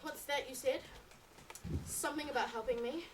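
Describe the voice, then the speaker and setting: A woman playing a bitter, jealous dead soul trapped in hell, with theatrical, scornful, drawn-out delivery in a stage drama. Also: high-pitched, lightly acted. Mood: empty, desolate. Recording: distant mic, faint background noise.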